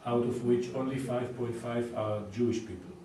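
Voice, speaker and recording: A man, a Hebrew speaker, answering interview questions in a casual, explanatory way with frequent pauses and filler sounds. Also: medium pitch, fairly even intonation, dark tone, a little hiss or hum. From Israel. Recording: echoey room, distant mic.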